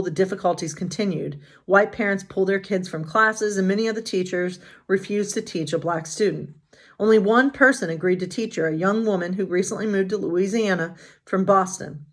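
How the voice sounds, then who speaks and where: A woman pastor hosting bedtime stories, reading aloud in an informal, expressive way with casual asides. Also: mid-range voice, moderate pace. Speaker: pastor and bedtime story host.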